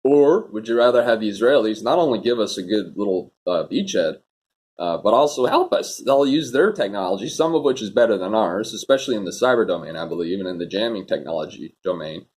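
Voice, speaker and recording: Husky, rambling male political vlogger. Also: fairly low pitch, animated, a little fast, a little mellow. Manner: rambling, opinionated, casual. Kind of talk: off-the-cuff commentary vlog.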